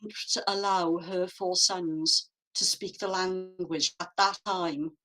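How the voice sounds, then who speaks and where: A woman from Wales, a guest artist, answering interview questions in a reflective, measured way with frequent fillers, over a video call. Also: on the low side, steady tone, bright, forceful tone.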